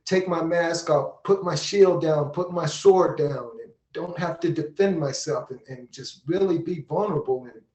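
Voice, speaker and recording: In a very echoey room, a man speaks in a somewhat high voice. He gives earnest, reflective testimony, repeating words as he builds his thought. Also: darkish tone. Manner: earnest, deliberate repetitions. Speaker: monologuist on a panel.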